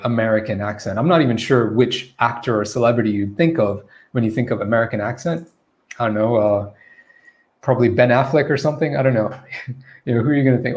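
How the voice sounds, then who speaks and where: Male English coach, middle pitch, casual live-stream delivery, chatty, self-correcting. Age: adult, former teacher.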